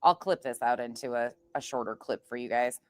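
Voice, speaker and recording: A woman's voice: rumbling low, fairly quick, no echo. A woman streamer giving blunt, profane, scornful commentary in casual reaction chat.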